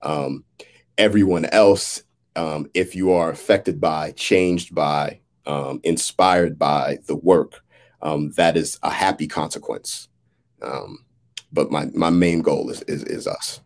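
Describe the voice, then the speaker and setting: Playwright, male, thirties, American. Low voice with a creaky edge, halting and reflective in conversation. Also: a little mellow. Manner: halting, thoughtful, often checks in with "right?". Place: indoors, on a video call. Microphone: video call.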